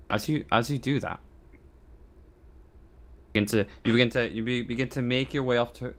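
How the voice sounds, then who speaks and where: Halting male game master. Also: lowish voice, fairly bright tone. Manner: halting, repeats phrases. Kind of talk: improvised tabletop game narration.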